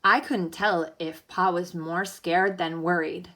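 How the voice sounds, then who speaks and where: A woman schoolteacher reading a picture book aloud with animated, dramatic emphasis and encouraging asides to her pupils. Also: lowish voice, takes it slowly. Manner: encouraging, checks understanding with questions. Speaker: elementary school teacher.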